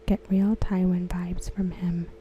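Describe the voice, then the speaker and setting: A woman softly reading aloud for ASMR in a breathy near-whisper, gentle and unhurried. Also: lowish voice, level tone, lo-fi sound, slight background noise. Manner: hushed, gentle, unhurried delivery. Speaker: ASMR creator. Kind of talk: soft-spoken ASMR read-aloud of written comments.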